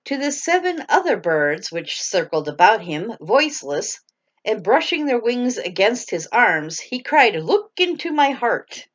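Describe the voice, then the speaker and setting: Slow, lively-voiced mom reading a story. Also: female voice, medium pitch, lo-fi sound. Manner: stumbles over hard words and laughs at herself. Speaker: parent reading to her children. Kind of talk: bedtime story read aloud.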